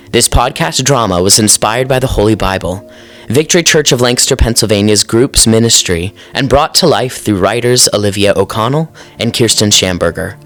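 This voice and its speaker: A man's voice: lowish voice, fairly bright tone. A man reading scripted credits as an announcer, in a formal, appreciative manner. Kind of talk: scripted narration. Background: faint music.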